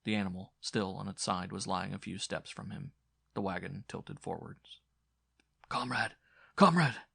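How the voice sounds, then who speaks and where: A man's voice: low-pitched, little pitch movement, normal speed, vocal fry, fairly bright tone, breathy edge, no room sound, studio quality. A man giving a dramatic scripted narration of a horror story as an audiobook narrator.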